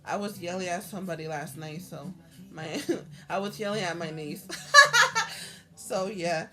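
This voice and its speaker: A hoarse woman vlogging about her own life in a halting, earnest way, repeating herself and restarting often. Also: on the low side, over music. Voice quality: halting, repetitive, with many restarts. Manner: earnest, heartfelt. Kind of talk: personal talk to camera.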